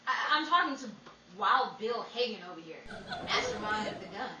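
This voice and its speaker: A woman's voice: medium pitch, sing-song, normal speed, roomy sound, recorded from a distance, noisy background, lightly acted. A woman playing an exasperated, scolding wife in an old-time radio comedy. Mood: scolding, sarcastic.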